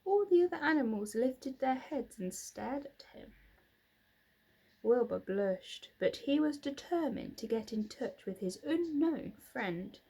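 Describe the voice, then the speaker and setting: A woman's voice: high voice, lively intonation, measured pace, dark tone, slightly rough, rough recording. A woman narrating a children's book expressively, like a teacher reading aloud. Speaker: reader, teacher.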